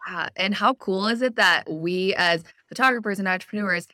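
Casting note: young female podcast host, bright and forward tone, encouraging, dry sound. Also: mid-range voice, no room sound. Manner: upbeat and encouraging, quick affirmations. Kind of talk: casual conversational interview.

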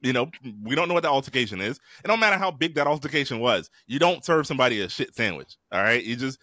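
A man's voice: mid-range voice, quick pace, no echo, studio quality. A man co-hosting a podcast in loose casual banter, swearing often and laughing between lines. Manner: animated, swearing, laughing a lot. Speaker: podcast co-host.

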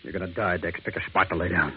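An actor playing a cold, betrayed gangster boss in a radio crime drama, terse and fatalistic. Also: male voice, sits low, fairly even intonation, fast. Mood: cold, menacing. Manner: terse, menacing. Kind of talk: 1950s radio drama acting. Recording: noisy, muffled old radio recording.

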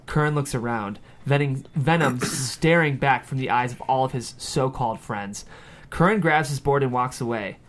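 A man's voice: mid-range voice, normal speed. A man reading screenplay stage directions aloud at a table read, with dramatic scripted narration.

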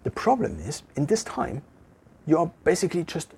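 A German-accented man talks alone to a camera in an empty room, working through his thoughts in a loose, conversational way. His voice is strongly husky, and he often stops mid-phrase to restart or correct himself. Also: a little high, huge pitch swings, a little mellow. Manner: thinking aloud, halting with restarts and self-corrections. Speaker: vlogger. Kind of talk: casual reflective monologue to camera.

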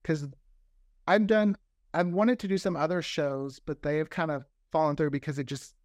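Higher-voiced, enthusiastic man, closely recorded. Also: fairly even intonation, normal speed, dry recording, studio quality. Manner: enthusiastic, chatty, often quoting imagined dialogue. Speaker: podcast creator, interview guest. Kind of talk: casual chat.

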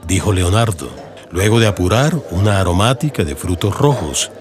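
A man's voice: sits low, expressive, normal speed, a crowd in the background. A man with a Colombian accent narrating a scripted audiobook in radio-drama style. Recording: faint background noise and ambience.

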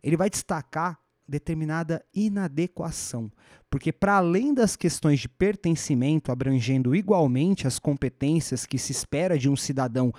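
A man's voice: a little high, lively intonation, moderate pace, darkish tone, no room sound, studio quality. A man with a Brazilian Portuguese accent hosting a podcast, explaining history in a thoughtful conversational way, often restarting his phrases and adding rhetorical asides. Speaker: podcast host.

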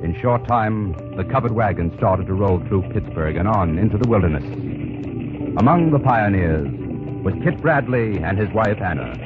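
A low-voiced male narrator delivers scripted radio-drama storytelling with sweeping, highly varied intonation. The vintage recording is muffled, with prominent music behind him. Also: animated, moderate pace.

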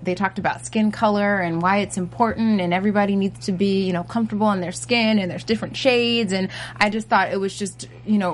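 A woman's voice: fairly low pitch, a little hiss or hum. Chatty woman hosting a talk show. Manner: chatty, upbeat, reads news items. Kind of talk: casual entertainment talk.

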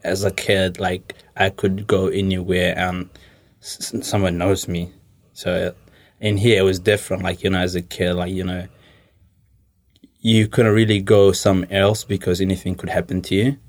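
A young man speaks in a low register with little melodic movement. He sounds like an interview guest thinking aloud, hesitating and circling back to rephrase his answers in informal English. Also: little pitch movement, normal speed. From Somalia. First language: Somali. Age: 20s.

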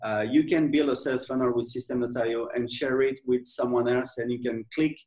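A man with a French accent, a software company owner speaking in a casual interview, hesitant, with many fillers and restarts. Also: medium pitch, steady tone, brisk, roomy sound. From France.

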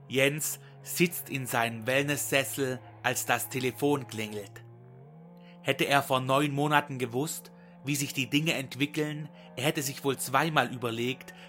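A man's voice: mid-range voice, sharp tone. A man delivering dramatic literary narration in a solemn, mock-epic manner. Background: music.

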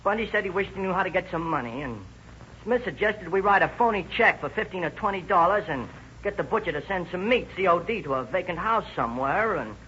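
A man's voice: high voice, lively intonation, brisk, bright, forceful tone. An actor playing a frightened teenage boy informing on his pals, earnest and slangy, in 1930s radio crime drama. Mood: anxious, pleading. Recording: muffled, noisy old radio recording.

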